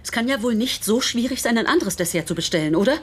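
An actress playing an imperious, cutting wife who speaks in a curt, demanding way in a radio drama. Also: female voice, on the high side, animated, fast talker, a little hiss or hum, natural voice. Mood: scornful.

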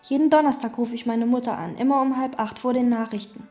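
A withdrawn, obsessive hotel maid in a radio drama. Her voice sits a little high but sounds soft and mellow. She talks calmly, in terse, flat sentences. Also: quick pace, faint music behind. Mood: detached. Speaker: chambermaid. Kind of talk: radio play, inner monologue and dialogue.

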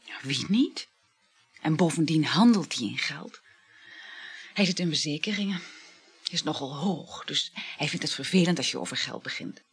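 A woman's voice: low-pitched, lively intonation, moderate pace, pauses often, slightly rough, exaggerated voice. An actress in a radio play, playing a flirtatious, gushing woman who juggles several lovers and chats effusively with sighing exclamations. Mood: chatty, pleading. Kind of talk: naturalistic radio play acting.

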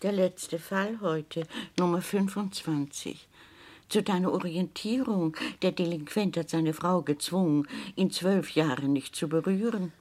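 A woman's voice: lowish voice, a little slow, darkish tone. A woman playing a coolly ruthless, pompous elderly widow in a radio play, speaking formally and matter-of-factly. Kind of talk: German radio play acting.

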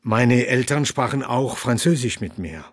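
Husky, outspoken old doctor. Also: male voice, mid-range voice, lively intonation, no echo, clean studio sound. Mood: wry, reflective. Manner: deliberate, opinionated, dryly humorous. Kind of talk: radio drama in the form of a talk show interview.

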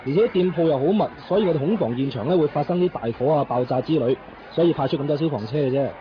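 A man plays a brisk Hong Kong fire captain who waves everything away. He talks very fast in Cantonese, a little high, in radio drama manner. The sound is muffled and clearly noisy. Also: natural voice. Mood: dismissive. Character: male fire brigade captain, brisk, dismissive, downplaying. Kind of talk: 1990s radio drama acting. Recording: muffled radio recording with clear background noise.

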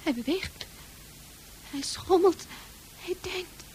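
An actress playing an agitated, frightened female psychiatric patient in a radio drama, halting and repetitive. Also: very high-pitched, a little mellow, a little hiss or hum, poor audio. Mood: fearful, hushed.

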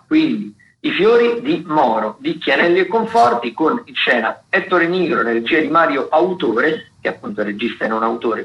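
An Italian man hosting a radio show, running through event listings in a semi-improvised way, often halting and correcting himself. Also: fairly high pitch, a bit bright, roomy sound, highs cut off. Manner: halting, with self-corrections and trailing hesitations. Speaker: radio host.

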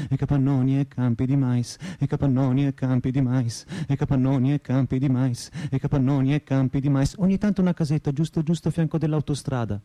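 An actor playing a weary, crude and wryly disillusioned working man in a deadpan radio drama monologue. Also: mid-range voice, dry recording, close to an everyday voice. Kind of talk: theatrical first-person monologue, radio drama.

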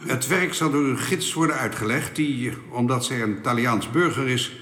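A man's voice: medium pitch, a bit bright, slight background noise, lightly acted. A man playing a formal alien councillor in a reverberant hall. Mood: formal, cool. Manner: formal, measured, authoritative. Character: formal, unbending alien councillor delivering official decrees. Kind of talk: radio drama acting. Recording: echoey hall with long reverb.

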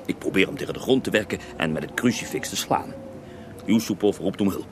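A man playing the ghost of a murdered Russian mystic monk in a radio play, recounting his tale in an unhurried, wry, theatrical way. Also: low-pitched, noisy background, lightly acted. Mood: dramatic recounting. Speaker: interviewed storyteller in a radio play. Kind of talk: radio drama acting, intimate first-person recounting.

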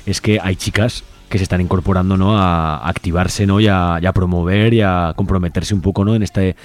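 A man's voice: deep voice, steady tone, fairly quick. A man hosting a radio interview in an informal, jokey way, often checking in with question tags. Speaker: radio show host.

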